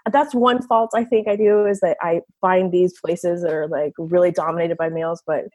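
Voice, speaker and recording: A woman's voice: fairly low pitch, fairly even intonation, moderate pace, a little mellow. A woman working as an enologist with a big voice, chatting casually with many fillers in an interview.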